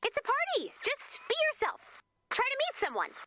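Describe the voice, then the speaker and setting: A woman's voice: very high-pitched, wildly swooping pitch, moderate pace, very noisy, exaggerated voice. A woman playing a peppy, encouraging young mission leader in a video game, chatty and coaxing. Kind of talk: video game character voice acting.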